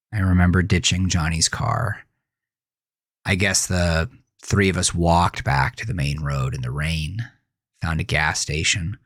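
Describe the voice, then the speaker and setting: An actor playing a haunted, dazed teenage boy, narrating a horror audio drama as a brooding, halting confession. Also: low-pitched, fairly bright tone, no echo, studio quality. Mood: dazed, reflective.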